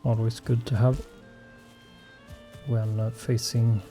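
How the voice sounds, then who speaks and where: A Swedish-born man talks through his survival gameplay at an unhurried pace, pausing often on ums and uhs. His voice is mellow and mid-pitched. Faint game music plays underneath. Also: level tone. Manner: slow, hesitant, many fillers. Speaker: gaming channel host. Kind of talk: relaxed gameplay commentary.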